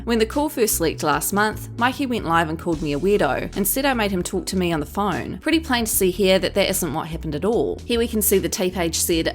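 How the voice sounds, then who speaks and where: A woman delivers scripted commentary as a channel host at a rapid clip. Her voice sits a little lower than most women's, and she reads out posts as part of the report, with light music underneath. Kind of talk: scripted news-style narration reading out social media posts. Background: background music.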